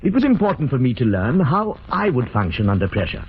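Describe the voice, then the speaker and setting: An actor playing a calm, villainous doctor, polite and clinical, in 1940s radio drama style. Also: male voice, mid-range voice, slightly rough. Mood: coldly menacing. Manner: polite, measured, hesitant pauses, clinical. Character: calm, cold, calculating villainous male doctor, smugly scientific. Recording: muffled, noisy vintage radio recording.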